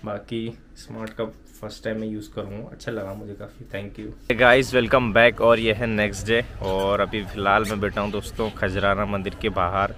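A man's voice: on the low side, little pitch movement, normal speed, hardly any pauses, bright, forceful tone. A young man, chatting casually to his vlog viewers and calling them brother and friends. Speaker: vlogger. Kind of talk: casual vlog chat to camera. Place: outdoors by a road at dawn, later indoors in a room.

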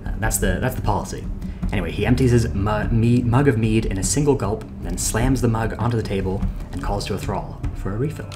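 A young man hosting a game playthrough, reading character dialogue aloud in a plain voice and then chatting casually about his choices. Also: fairly low pitch. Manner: reads character lines plainly, then chats casually with pauses and fillers. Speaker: let's play host. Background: game music.

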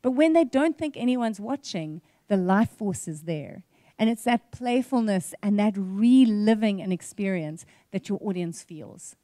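A middle-aged woman with a South African accent speaking on a panel through a handheld mic, lively and expressive. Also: medium pitch, dry recording, studio quality. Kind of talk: stage panel talk. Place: stage in event venue.

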